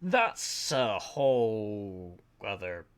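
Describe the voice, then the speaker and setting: A man's voice: on the low side, very animated, drawn-out words, clear, resonant tone. A man from Lancashire with a Lancashire accent, chatting casually and hesitantly to viewers on a livestream, full of ums. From Lancashire, England. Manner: rambling, chatty, self-deprecating, hesitant with frequent ums. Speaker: art livestreamer. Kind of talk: casual chat to stream viewers. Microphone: desk mic, webcam off.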